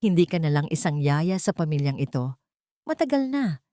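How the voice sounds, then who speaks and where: A woman's voice: sits low, very animated, normal speed, mellow, no room sound, studio quality. A woman voicing a tender, remorseful billionaire father in a romantic audio drama. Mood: earnest, tender.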